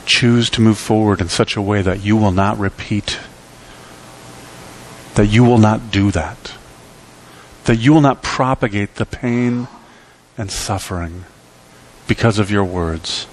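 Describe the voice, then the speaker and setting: A middle-aged man preaching in a church, speaking from a lectern with emphatic, rhythmic delivery that swings between loud peaks and quiet drops. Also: takes it slowly, long pauses, slight background noise, low-quality recording. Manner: emphatic preaching with sweeping pitch and volume swings. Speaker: pastor. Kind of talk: sermon. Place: church sanctuary.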